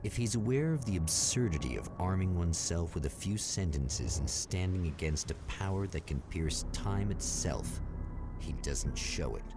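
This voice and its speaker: An actor playing the solemn, portentous narrator of a vintage mystery anthology show, in measured dramatic narration. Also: male voice, deep voice, animated, loud music behind the voice.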